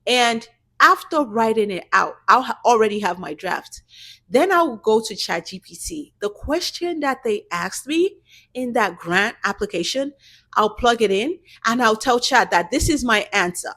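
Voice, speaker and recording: A woman's voice: a little high, animated, unhurried, bright, forceful tone. Encouraging woman coaching her viewers. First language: not English. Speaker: YouTube channel host giving business grant tips. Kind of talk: casual tutorial talk to camera.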